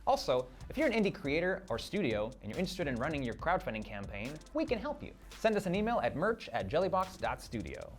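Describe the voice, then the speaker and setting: Brisk, lively video-essay narrator. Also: medium pitch. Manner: brisk, persuasive, lively delivery.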